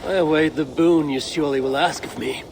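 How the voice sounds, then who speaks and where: Guarded, higher-pitched Viking clan leader acted by a man. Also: fairly even intonation, a little hiss or hum, natural voice. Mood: relaxed, wry. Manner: measured, wry, formal speech. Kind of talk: video game dramatic dialogue. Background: background music.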